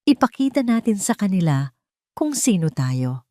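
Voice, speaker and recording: A woman's voice: mid-range voice, big pitch swings, moderate pace, mellow, no room sound, studio quality, lightly acted. A woman voicing a determined, brave female boss with a firm, impassioned delivery, in a narrated audio drama. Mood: resolute. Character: determined, brave woman boss rallying her fearful workers.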